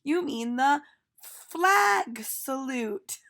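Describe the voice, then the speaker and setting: A woman voicing giggly young schoolchildren in a storybook, gently correcting their teacher with emphatic exclamations. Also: high-pitched, lively intonation, very slow, gravelly, voice pushed up, exaggerated voice. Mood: amused correcting. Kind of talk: storybook read-aloud for children.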